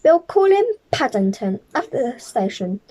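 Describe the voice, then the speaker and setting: A woman's voice: a little high, very animated, moderate pace, dark tone, rough recording. A woman voicing a kindly, decisive wife and mother who exclaims her lines in a children's storybook.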